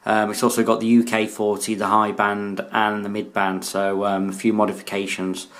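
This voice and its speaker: A British man with a slightly low voice talks through a piece of hobby gear. His delivery is casual and halting, as in a homemade showcase video recorded indoors. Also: completely flat delivery, fairly bright tone. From United Kingdom. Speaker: radio hobbyist presenting a video. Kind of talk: casual product showcase narration. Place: indoors in a room.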